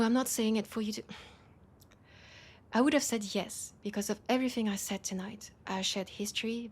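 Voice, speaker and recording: A woman playing a proud but desperate vampire leader in an intimate audio drama, with a French accent and a halting, breathy delivery that slips toward whispers. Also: medium pitch, natural voice. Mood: earnest, devoted. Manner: hesitant, halting, breathy asides dropping into whispers.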